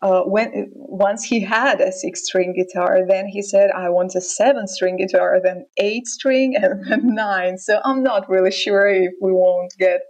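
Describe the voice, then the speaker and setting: A woman with a Russian accent, answering interview questions in a measured way, pausing often with ums. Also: medium pitch, a little mellow, poor audio. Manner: measured, hesitant with frequent ums. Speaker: band member interviewed.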